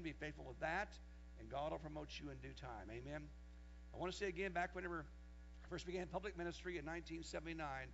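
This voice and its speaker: A man in his sixties preaches in a fairly high voice, reading scripture aloud and building to loud, raised passages when he quotes it. The recording is dull and lo-fi, with a faint mains hum underneath. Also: gravelly. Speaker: pastor. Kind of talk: sermon.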